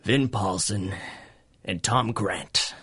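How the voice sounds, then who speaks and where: A man's voice: lowish voice, slow, bright, forceful tone, husky, heavily acted. An actor playing a short-tempered, swaggering gangster boss with slangy tough-guy talk in a 1930s-style radio drama. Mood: grudging.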